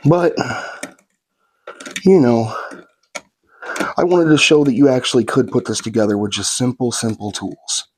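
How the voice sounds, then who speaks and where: A man's voice: mid-range voice, unhurried, pauses often. A man narrating a how-to build in a laid-back, folksy way while he works, sniffly from a slight cold. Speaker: guitar kit builder.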